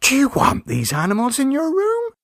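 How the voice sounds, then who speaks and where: Man as young housemaid, high swooping exclamations, common-spoken, deferential, astonished, dry storybook recording. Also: huge pitch swings, normal speed, creaky, fairly bright tone, no room sound, full character voice.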